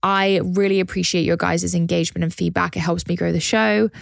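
Brief: woman podcast host, slightly hoarse, gravelly fry, chatty and enthusiastic, dry close sound. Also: lowish voice, little pitch movement, a little fast, no echo. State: slightly hoarse, losing her voice. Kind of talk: casual podcast chat.

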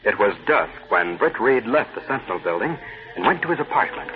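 A man's voice: mid-range voice, sing-song, fairly quick, soft background music, lightly acted. A man narrating an old-time radio serial in a formal, suspenseful manner. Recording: hissy, tinny old radio recording.

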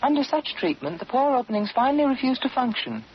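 Animated woman reading an old radio advert. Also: sits high, quick pace. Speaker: beauty advertising spokeswoman. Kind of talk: scripted 1940s radio advertisement. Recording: dull vintage radio, faint hiss.

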